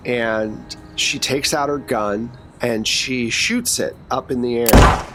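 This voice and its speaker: A man's voice: mid-range voice, slow, heavy background noise. A man narrating a tabletop horror game as game master, with informal, descriptive storytelling.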